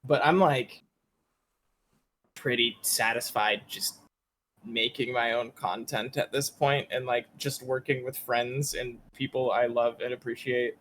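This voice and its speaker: A man's voice: medium pitch, steady tone, measured pace, a bit bright. A man who works as a voice actor, chatting casually and hesitantly on a panel, rambling a little with informal language. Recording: noisy convention stream audio.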